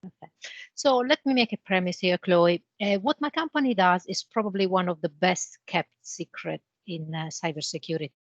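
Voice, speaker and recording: A woman with an Italian accent, a guest in a business interview, explaining with homely comparisons in a measured way. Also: on the low side, no echo, muffled recording. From Italy. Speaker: interview guest, channel manager.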